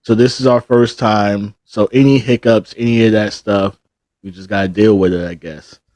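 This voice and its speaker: A man hosting a casual interview podcast, asking short, relaxed questions of his guest. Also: lowish voice, moderate pace, no echo. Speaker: podcast host.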